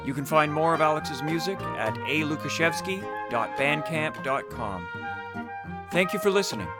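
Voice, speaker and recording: A man's voice: a little high, expressive, moderate pace, loud music behind the voice. A man hosting a podcast in a casual, conversational way. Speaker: podcast host.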